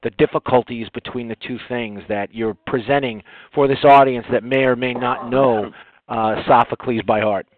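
Man, interview host, mid-pitched voice, conversational, halting long-winded phrasing, dry phone-like recording. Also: fairly even intonation, no room sound. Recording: tinny phone-like audio, very dry.

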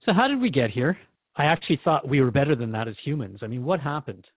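A man's voice: mid-range voice, animated, fast, no echo, phone-quality sound. A man hosting a radio interview, conversational and inquisitive, thinking aloud as he asks. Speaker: radio show host interviewer.

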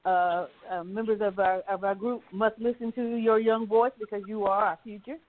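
A woman's voice: mid-range voice, fairly even intonation, moderate pace. An older woman from Virginia, calling in and speaking in an encouraging, rambling way with many fillers. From Virginia, USA. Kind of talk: casual call-in chat. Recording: tinny phone line.

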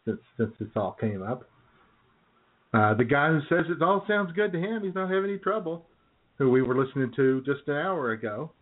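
A man co-hosting a casual internet radio show, joking in deadpan asides and laughing at his own lines. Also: on the high side, normal speed. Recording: tinny phone-line internet radio audio.

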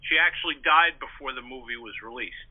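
A man in his sixties with a mid-pitched voice answers interview questions in a chatty, discursive way. He is articulate and reminiscent, speaking as a guest. The sound is narrow and tinny, like a phone call. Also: fairly quick. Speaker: interview guest, pulp fiction historian and author. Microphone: telephone.

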